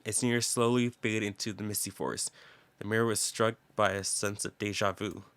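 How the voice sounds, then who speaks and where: A man's voice: on the low side, fairly even intonation, normal speed, a bit bright. A man reading game text aloud as a dramatic narrator in a let's play. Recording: background game music. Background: game music with plucked guitar.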